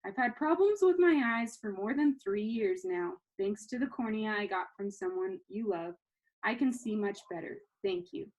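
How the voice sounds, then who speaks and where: A woman's voice: medium pitch, animated, normal speed, darkish tone, lightly acted, rough recording. A woman voicing a reluctant, awkward thirteen-year-old boy in a storybook, with a hesitant manner. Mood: earnest.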